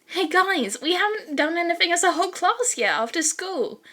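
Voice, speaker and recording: A woman acts out a teenage girl hero student in a story reading. She is bubbly and scheming, with a high, persuasive voice that pushes her classmates along. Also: big pitch swings, normal speed, bright, forceful tone, exaggerated voice. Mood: eager, coaxing.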